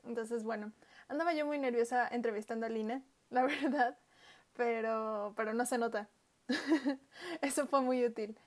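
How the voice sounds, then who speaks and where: A woman hosting a podcast, friendly and casual, filling pauses with little words. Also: on the high side. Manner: friendly, uses fillers like "este" and "bueno". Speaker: podcast host.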